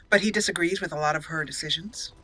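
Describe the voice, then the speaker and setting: A woman's voice: on the low side, a little fast, pushed and bright, low-quality recording. A woman giving casual, chatty, giggly commentary as host of a roleplay video game playthrough. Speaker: YouTube host doing a roleplay let's play. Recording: game music behind the voice. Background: video game music.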